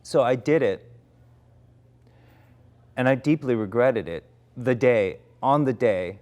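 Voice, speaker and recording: A man's voice: medium pitch, expressive, takes it slowly, a little mellow. A man in his forties, an actor, reflecting candidly in conversation, often restarting his sentences. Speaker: actor, interview guest.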